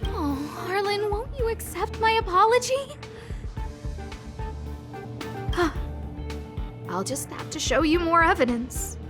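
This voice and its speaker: A woman playing an earnest, theatrical young noblewoman boss in a video game. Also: high-pitched. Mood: pleading, dramatic. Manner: theatrical, emphatic, declarative. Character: earnest, dramatic young noblewoman boss, apologetic yet insistent.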